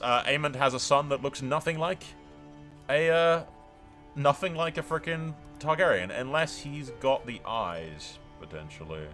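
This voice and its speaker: A man hosts a gaming video in a bright, forceful voice at an average pitch. His commentary is casual and rambling, irreverent and peppered with swearing. Faint music plays behind him. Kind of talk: casual game playthrough commentary.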